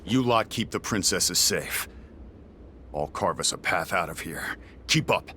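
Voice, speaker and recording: An actor playing a gruff, brooding warrior leader in a game, with a curt, hard-edged, commanding way of talking. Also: male voice, sits low, animated, measured pace, slight background noise, exaggerated voice. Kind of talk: dramatic game acting. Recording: loud dramatic music behind.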